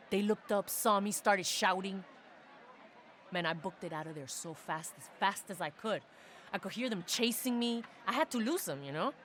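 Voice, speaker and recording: Casting: street-raised female soldier, reflective and confiding video game log, slight background noise. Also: low voice. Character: young female special forces soldier, tough favela-raised street kid. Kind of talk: dramatic first-person audio log narration.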